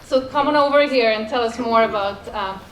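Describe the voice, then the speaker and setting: A woman with a loud voice speaking at a festival over a stage microphone, emotional and grateful, chatty with fillers. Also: on the high side, a little fast. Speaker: event speaker. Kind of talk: public address at a festival. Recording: echoey PA sound with loud crowd. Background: crowd and loud noise.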